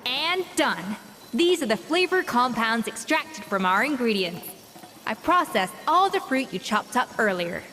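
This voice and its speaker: A woman playing a self-assured, exacting young head chef in a video game, speaking in a crisp, composed manner. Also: fairly high pitch, big pitch swings, a little slow, background noise. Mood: instructive. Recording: reverberant, with music behind.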